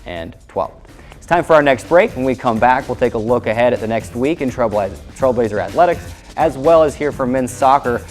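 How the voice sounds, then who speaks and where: A man's voice: mid-range voice, lively intonation, fairly quick, faint music behind. An American man hosting a sports show, interviewing and presenting in a broadcast style. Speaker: sports show host and play-by-play voice.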